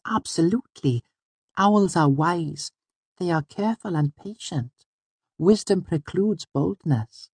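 Male reader as whimsical young girl, high soft head voice, dainty precise phrasing, playful, storybook character, dry studio sound. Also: expressive, takes it slowly, husky, dry recording, full character voice. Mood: solemn. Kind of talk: audiobook character voice.

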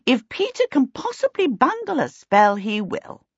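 A woman voicing a brisk, severe, super-efficient middle-aged witch for an audiobook, dry, emphatic and impatient. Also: mid-range voice, huge pitch swings, breathy edge, dry recording, muffled recording, pitched above the performer's own voice, exaggerated voice. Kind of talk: audiobook character voice.

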